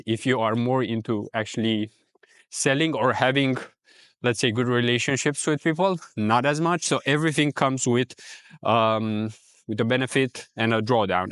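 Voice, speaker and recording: A man from Romania with a Romanian accent, talking casually in a reflective, rambling vlog with many pauses and fillers. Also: medium pitch, fairly bright tone, smooth, no echo, studio quality. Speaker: ecommerce vlogger.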